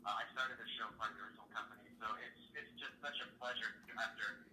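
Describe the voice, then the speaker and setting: A man's voice: on the low side, fast, bright, forceful tone, recorded from a distance, slight background noise, rough recording. A man in his thirties whose first language is French, answering interview questions with eager enthusiasm and often repeating words. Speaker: musical theatre actor, guest.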